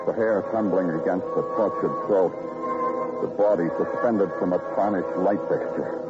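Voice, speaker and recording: An actor playing a weary, hard-boiled police detective in a radio crime drama, clipped, dry and brooding. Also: male voice, low voice. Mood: somber, brooding. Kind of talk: 1950s radio crime drama acting and narration. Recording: muffled old radio broadcast recording.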